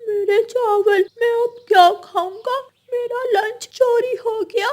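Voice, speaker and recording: A woman's voice: extremely high pitch, steady tone, slow pace, pitched above the performer's own voice. A woman voicing a small, whiny, tearful male bird schoolchild in a cartoon. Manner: wailing, whiny.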